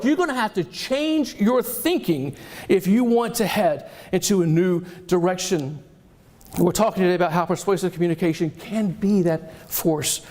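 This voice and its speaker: Energetic high-voiced Southern man. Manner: energetic, animated, joking with the audience. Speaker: keynote speaker. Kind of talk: motivational keynote speech. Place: conference hall, speaking on stage.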